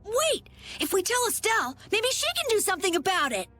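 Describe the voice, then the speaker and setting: A woman voicing an excitable, indignant young child in a video game, urgent and exclaiming. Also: very high-pitched, a little fast, faint music behind, heavily acted. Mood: alarmed, indignant.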